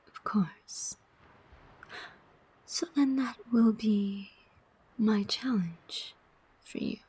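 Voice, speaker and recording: A woman acts out a sardonic demon collector of souls in an ASMR roleplay. The voice is a very breathy whisper, drawn out very slowly. The demon coaxes and persuades with pointed, deliberate questions. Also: medium pitch, darkish tone, heavily acted, rough recording. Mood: coaxing, bargaining.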